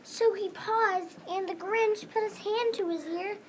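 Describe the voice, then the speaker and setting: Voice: very high-pitched, steady tone, measured pace. A young school-age child narrating a storybook script, reading it aloud in a readers theater. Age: child, elementary school. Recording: background ambient noise.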